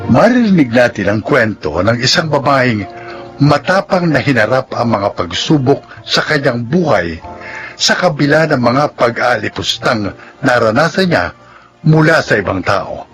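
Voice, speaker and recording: A male radio announcer with a mid-range voice and sweeping intonation. He reads formally and dramatically over clearly audible music, measuring out each phrase. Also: mid-range voice, expressive, unhurried, fairly bright tone. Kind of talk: formal scripted drama introduction and credits.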